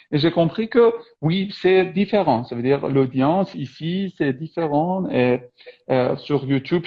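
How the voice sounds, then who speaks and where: A man whose first language is Persian chats in an interview, halting and restarting his phrases with many fillers. His voice sits fairly high for a man. The sound is slightly dull, as if it came over a video call. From Iran. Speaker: interviewer, French teacher on YouTube. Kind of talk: casual interview chat.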